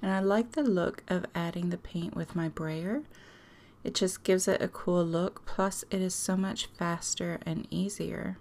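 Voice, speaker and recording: A woman hosting a craft tutorial, talking casually and chattily with a hoarse, strained voice. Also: fairly low pitch, steady tone, measured pace, a little mellow. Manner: chatty, self-deprecating, laughs often. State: hoarse, losing her voice.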